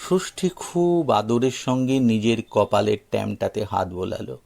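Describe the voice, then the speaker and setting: A man's voice: lowish voice, animated, normal speed, gravelly, a little mellow. A man reading a scripted story expressively as an audio story narrator.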